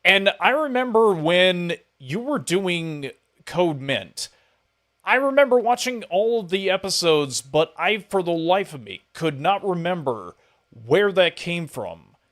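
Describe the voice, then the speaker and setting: A man's voice: high-pitched, sing-song, unhurried, fairly bright tone. Casual male podcast interviewer. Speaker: podcast host interviewing a guest.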